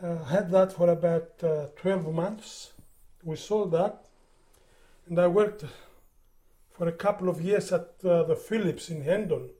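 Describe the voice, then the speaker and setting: Older Greek-born man, accented English, higher pitched with a warm dark tone, halting reminiscence. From Greece. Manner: halting, repeats words while recalling. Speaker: interviewee. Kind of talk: oral history interview.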